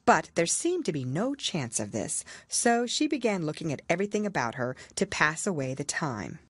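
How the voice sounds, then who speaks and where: Husky woman reading a storybook, lively pitch. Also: moderate pace, no echo, clean studio sound. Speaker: audiobook reader. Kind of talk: expressive scripted storybook narration.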